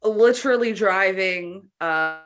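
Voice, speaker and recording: A woman's voice: medium pitch, takes it slowly, fairly bright tone. A woman from Canada co-hosting a podcast, chatting in an informal, slangy, conversational way. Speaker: podcast co-host. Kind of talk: casual podcast chat.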